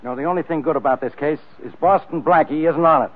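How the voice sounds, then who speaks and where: Man playing a rapid-fire, brusque radio police inspector. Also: on the high side, close to an everyday voice. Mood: exasperated. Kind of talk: 1940s radio acting. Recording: tinny 1940s radio recording.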